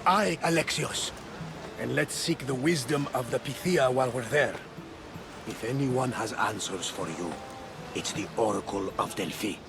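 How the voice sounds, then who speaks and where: An actor playing a loyal, devout older ship captain with a gravelly voice in a video game. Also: male voice, medium pitch, moderate pace, natural voice. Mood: earnest, upbeat. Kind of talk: video game cutscene acting. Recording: background music under the voice.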